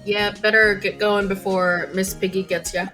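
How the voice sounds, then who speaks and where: A woman's voice: mid-range voice, little pitch movement, normal speed, lightly acted. A woman voicing a perky, teasing young female legal assistant in an amateur live game dub. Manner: playful, teasing. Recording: music playing behind the voice.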